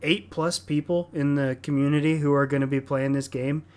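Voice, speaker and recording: A man's voice: fairly high pitch, steady tone, moderate pace. A man hosting a gaming podcast, teasing his co-hosts in casual chat. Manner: laughs often, teasing. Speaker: podcast host.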